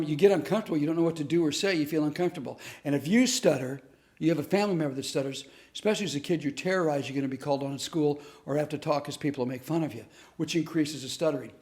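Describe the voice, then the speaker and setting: Male storyteller-teacher, slightly high voice, earnest and animated delivery. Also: quick pace. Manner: earnest, animated storyteller who acts out quotes. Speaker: comedic speaker and teacher. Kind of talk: storytelling lesson to camera.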